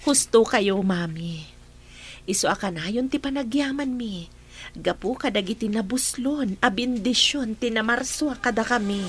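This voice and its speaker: A woman's voice: on the high side, unhurried, slightly rough. A woman acting as a caring mother and wife in a radio play, polite and grateful. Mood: respectful.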